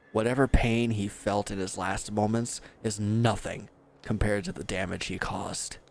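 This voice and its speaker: A man's voice: lowish voice, vocal fry, breathy edge, heavily acted. A man playing a bitter, wounded villain who is grieving and accusing, speaking with weary sarcasm in a scripted audio roleplay addressed to the listener.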